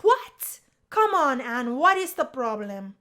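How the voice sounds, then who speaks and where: A woman's voice: high-pitched, sing-song, slow pace, sharp tone, full character voice. A woman voicing a bossy, exuberant young female college roommate in a visual novel read-through. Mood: impatient.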